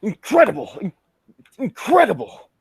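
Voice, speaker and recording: A man playing a pompous, excitable gentleman sidekick in 1940s radio detective style, with a husky voice and old-fashioned manners of address. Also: high voice, normal speed, no room sound, studio quality, full character voice. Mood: excited, theatrical.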